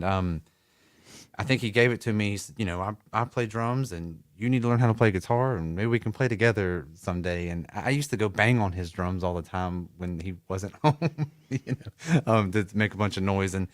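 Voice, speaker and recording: A middle-aged man with a Southern American accent and a low voice, recorded close and dry in a music studio. He tells stories from his youth in an easy, rambling way and laughs often. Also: no echo. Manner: relaxed storytelling, laughs often. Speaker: musician guest. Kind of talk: casual interview.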